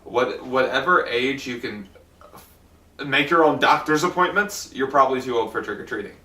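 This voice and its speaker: A man's voice: medium pitch, normal speed, bright, forceful tone, roomy sound, far from the mic. A young man in casual podcast chat, rambling and swearing freely. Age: young adult. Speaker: podcast guest.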